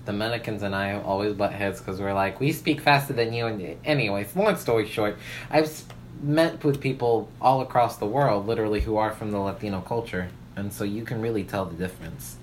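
A woman's voice: a bass voice, a little fast, slight background noise, rough recording. A woman chatting casually, with an opinionated, emphatic, freely swearing way of talking. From Puerto Rican family background. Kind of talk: casual banter.

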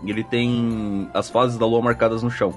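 A man's voice: medium pitch, level tone, moderate pace, soft background music. A man with a Brazilian accent chatting casually as a podcast co-host, explaining things in a colloquial way. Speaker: podcast co-host and game master. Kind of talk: casual chat answering listener feedback.

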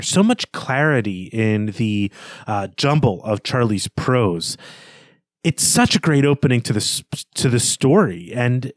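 A man's voice: medium pitch, no room sound. A man co-hosting a podcast, talking through a literary analysis in a thoughtful, emphatic way into a close microphone. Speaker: podcast co-host. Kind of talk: literary discussion, conversational analysis.

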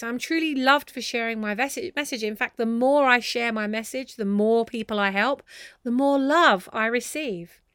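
A woman host giving a motivational coaching talk to viewers, speaking emphatically and deliberately, often building lists of repeated phrases. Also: on the high side, fairly bright tone, smooth, no echo, studio quality.

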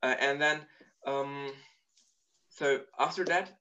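Man, bright voice, thoughtful, lots of fillers, dull lo-fi call sound. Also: mid-range voice, fairly even intonation, slow. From Turkey. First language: Turkish. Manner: thoughtful, explains at length with many fillers. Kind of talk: casual video call chat.

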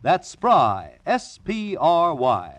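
High, animated male ad announcer. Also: extremely slow, dry recording. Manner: emphatic sales delivery. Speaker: commercial announcer. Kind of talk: 1940s radio advertisement.

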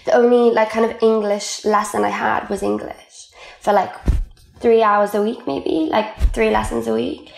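A young Welsh woman talks in a loose, chatty way, scattering "like" through her sentences. Her voice carries a gravelly edge, and the room around her sounds echoey. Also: on the high side, steady tone, moderate pace. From Wales. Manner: chatty, lots of "like" fillers. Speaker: guest. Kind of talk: casual conversational interview.

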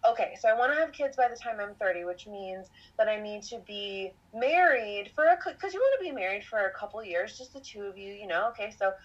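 A woman's voice: medium pitch, lively intonation, a little fast, hardly any pauses. Lo-fi woman in her late twenties, rambling. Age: 20s. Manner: rambling, self-reflective, laughs often. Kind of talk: casual conversational storytelling.

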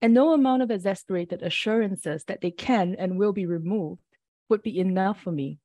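Mellow-voiced woman reading poetry. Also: mid-range voice, dry recording. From Singapore. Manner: measured, deliberate reading with brief asides. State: slightly off early-morning voice. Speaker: poet.

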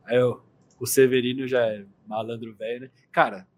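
A man's voice: mid-range voice, level tone, moderate pace, a little mellow. Casual co-host: Brazilian man, ordinary mid-range voice, easygoing and slangy. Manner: laid-back slangy talk, laughs often. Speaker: podcast co-host. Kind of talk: casual film review chat.

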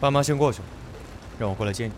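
An actor playing a cold, irritable young older brother in a modern radio drama, blunt and scornful. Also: male voice, mid-range voice, racing, lightly acted. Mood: cold, irritated. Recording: clear rain-like background noise.

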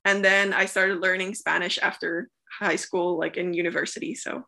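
Bright-voiced young Flemish woman, chatty. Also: on the low side, flat delivery, normal speed, vocal fry. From Belgium, Flemish part. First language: Dutch. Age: 20s. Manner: chatty, frequent "like" fillers. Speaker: guest, travel content creator. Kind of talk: casual interview answers.

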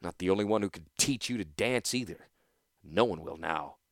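A man voicing a stern veteran weapons master who gives calm, commanding orders. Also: sits low, moderate pace, no echo, studio quality, close to an everyday voice. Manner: calm, commanding, dryly threatening.

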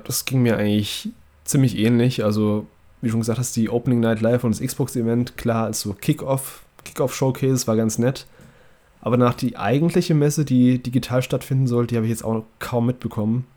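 A young man hosts a laid-back podcast while fighting off a cold, so his nose sounds stuffed up. His voice is dark and mellow, at an ordinary pitch for a man. He talks easily and restarts his sentences now and then. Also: fairly quick. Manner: relaxed, conversational, with fillers and restarts. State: congested from a cold. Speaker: podcast host. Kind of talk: casual podcast chat.

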